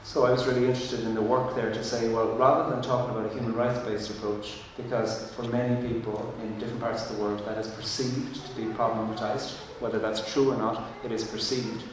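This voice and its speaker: A man's voice: medium pitch, level tone, fairly quick, hardly any pauses, a little hiss or hum. An Irish man whose first language is Irish, speaking earnestly and thoughtfully on a panel, with frequent restarts. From Ireland. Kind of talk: panel discussion. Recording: echoey hall from far off.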